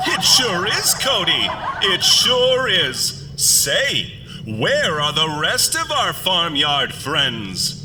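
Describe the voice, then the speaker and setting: An actor playing a sinister figure who hosts a hokey, folksy farm radio show for children in a theatrical manner. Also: male voice, on the high side, huge pitch swings, very slow, in a large hall, background noise, heavily acted. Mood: folksy cheer.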